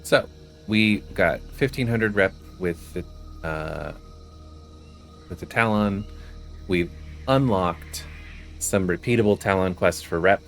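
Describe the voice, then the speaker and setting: A man giving a low-key, casual running commentary as he plays through a video game. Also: slow, pauses often. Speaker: gaming video host. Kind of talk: casual game playthrough commentary with quest text read aloud. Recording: music behind the voice. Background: game music.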